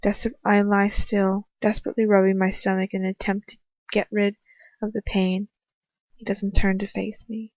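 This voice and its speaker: A woman's voice: mid-range voice, little pitch movement, normal speed, darkish tone. A woman giving a dramatic first-person reading of fan fiction, as an amateur audiobook narrator. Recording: muffled roomy home recording.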